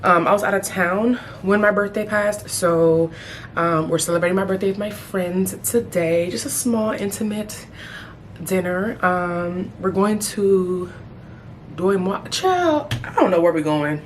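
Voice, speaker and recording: A woman in her thirties chatting casually as a beauty vlogger while doing her makeup, playful, with sudden high exclamations. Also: measured pace, a little hiss or hum. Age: 30s. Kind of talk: casual get-ready-with-me chat.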